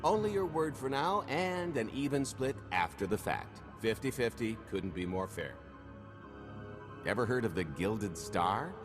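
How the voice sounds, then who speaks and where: A low, sweeping voice rolls out a sly pitch, all easy confidence and wry charm. a cocky alien scoundrel in a game cutscene, with orchestral music behind him. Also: male voice, big pitch swings, a little slow. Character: smooth-talking male alien scoundrel, cocky. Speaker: video game character.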